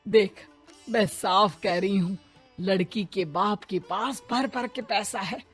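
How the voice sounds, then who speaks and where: A woman puts on a scheming middle-aged stepmother for a cartoon moral tale. She is loud, sarcastic and scornful, forever scolding. Music plays faintly behind. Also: mid-range voice, slow pace, husky. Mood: scolding, greedy.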